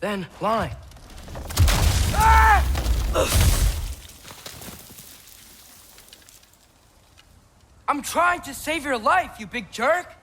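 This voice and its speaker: Defiant teen boy in a video game, high voice, kinda hurt and earnest, lots of swoops. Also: big pitch swings, takes it slowly, sharp tone, a little hiss or hum, heavily acted. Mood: frustrated, conflicted. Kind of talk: dramatic video game cutscene acting.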